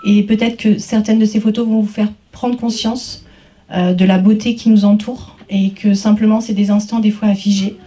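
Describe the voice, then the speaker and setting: Female artist, mellow and level delivery, hesitant informal speech, distant pickup. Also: mid-range voice, flat delivery, normal speed. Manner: hesitant, unscripted, searching for words. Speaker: photographer, exhibiting artist. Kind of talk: informal speech at an exhibition opening. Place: exhibition opening, speaking to guests. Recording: distant microphone.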